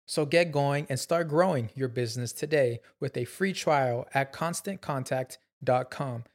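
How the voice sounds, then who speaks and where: A man reads a scripted advertisement in a measured, promotional manner. He takes his time over every line, with an unhurried pace. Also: mid-range voice. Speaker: ad announcer. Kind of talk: scripted radio ad read.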